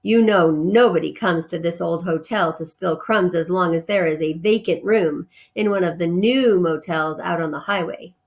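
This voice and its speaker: A woman's voice: lowish voice, moderate pace, hardly any pauses, close to an everyday voice. A woman voicing a stern, bossy older uncle mouse who lectures and scolds in a storybook. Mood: stern, lecturing.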